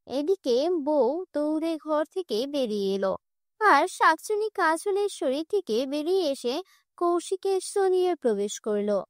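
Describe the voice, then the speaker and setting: Woman, storyteller, very high pitch, scripted folk horror narration, dry recording. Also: dry recording.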